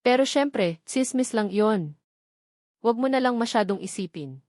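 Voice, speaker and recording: A woman's voice: a little high, animated, moderate pace, darkish tone, no room sound, studio quality, lightly acted. A woman voicing a sly male cousin who poses as a concerned confidant in a romance story. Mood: insinuating.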